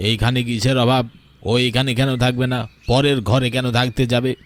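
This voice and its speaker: A man's voice: medium pitch, flat delivery, moderate pace, natural voice. A man playing a stubborn, doting landlord father in a cartoon story, plaintive and obstinate. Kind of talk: Bengali cartoon story dialogue.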